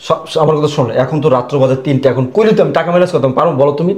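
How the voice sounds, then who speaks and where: A man's voice: a little high, rapid-fire, a little mellow, echoey room, natural voice. A man playing a flustered older groom who stammers and pleads with his angry bride. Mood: pleading. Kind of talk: comic domestic drama acting.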